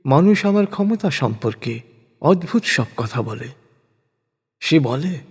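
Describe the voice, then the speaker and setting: A man reading scripture in translation as solemn scripted narration, in a measured, declamatory manner. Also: on the high side, darkish tone. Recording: long hall-like reverb.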